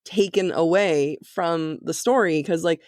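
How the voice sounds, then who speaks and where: A woman co-hosting a podcast in a casual, chatty way, excitable and giggly, often acting out her own reactions with plenty of "like". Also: lowish voice, unhurried, no echo, studio quality. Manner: informal, peppered with "like". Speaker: podcast co-host. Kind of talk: casual, chatty book discussion.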